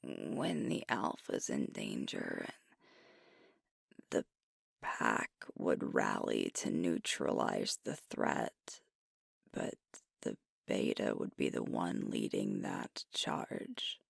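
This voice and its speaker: A woman acts out a sweet alpha werewolf girlfriend who is hard on herself, in an intimate ASMR roleplay. Her breathy voice often slips into a whisper and is thick with creak. She talks very slowly, with tender, hesitant pauses, in a close, dry sound. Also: rumbling low, very animated, dry recording, heavily acted. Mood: earnest, resolute. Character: sweet, self-critical alpha werewolf girlfriend, burdened pack leader.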